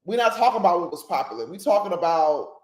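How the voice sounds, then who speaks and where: A woman's voice: lowish voice, big pitch swings, fast, a little mellow, roomy sound, rough recording. A woman hosting a casual solo podcast, talking emphatically, often checking in with her listeners and laughing at her own jokes. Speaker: podcast host.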